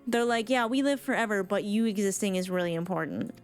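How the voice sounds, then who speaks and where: A woman's voice: medium pitch, fast talker, faint music behind. A woman streamer commenting casually and fondly on a game, swearing now and then. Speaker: streamer reacting to game cutscenes.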